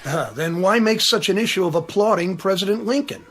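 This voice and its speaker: An actor playing a courteous, proud officer of the 1860s in a radio drama, formal and old-fashioned. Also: male voice, sits high, lively intonation, fairly quick, fairly bright tone. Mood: puzzled, stiff.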